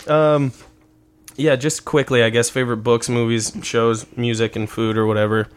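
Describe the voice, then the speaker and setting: A man in his mid twenties with a medium-pitched voice hosts a loose comedic conversation. His talk is offhand and slangy, with easy swearing. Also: little pitch movement, moderate pace, clean tone. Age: mid 20s. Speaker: podcast host. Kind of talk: casual comedic chat.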